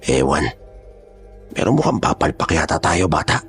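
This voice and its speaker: A man playing a gruff, blunt kidnapper boss in a horror audio drama. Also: very deep voice, big pitch swings, moderate pace, fairly bright tone, faint music behind, heavily acted. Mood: irritated. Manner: rough, street-tough, blunt. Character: gruff, menacing male kidnapper gang boss.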